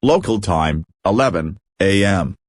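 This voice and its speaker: A man acts as an emergency alert announcer with a fairly low voice. He reads scripted bulletins formally and impersonally, in a very dry sound. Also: animated, a little slow, no echo.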